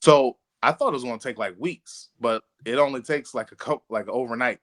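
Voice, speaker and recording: Male podcast co-host, casual and playful, loose profane banter, laughs easily. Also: mid-range voice. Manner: playful, profane, quick to crack up.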